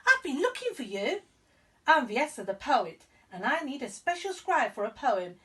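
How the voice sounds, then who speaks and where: A woman's voice: high voice, very animated, normal speed, fairly bright tone, recorded from a distance, pitched above the performer's own voice. A woman voicing an old male poet, courteous, earnest and emphatic, in a children's storybook reading. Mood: excited.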